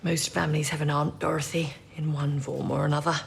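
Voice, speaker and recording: A woman's voice: sits low, little pitch movement, brisk, creaky, slight background noise. A woman playing a reserved, caring statistician and codebreaker in a period wartime radio drama. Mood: sympathetic. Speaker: actor in an audio drama.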